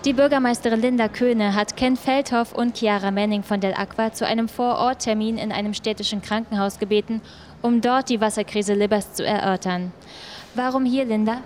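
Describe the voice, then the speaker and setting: An actress playing a brisk, factual reporter on location in a radio drama. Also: female voice, on the high side, steady tone, darkish tone, close to an everyday voice. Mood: factual, inquiring. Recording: faint noise and crowd bustle.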